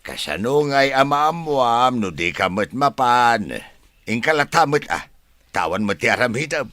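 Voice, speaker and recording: An actor playing a nagging, teasing husband in a radio drama, exclaiming and scolding. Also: male voice, medium pitch, sing-song, unhurried, a bit bright, no echo.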